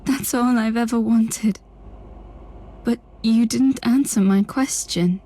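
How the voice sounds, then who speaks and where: A troubled young psychic outcast in a dark sci-fi game. Her voice sits fairly high yet is mellow and raspy at the edges. She is wary and brooding, with light music behind her. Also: slow. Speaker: game character. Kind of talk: dramatic video game cutscene acting.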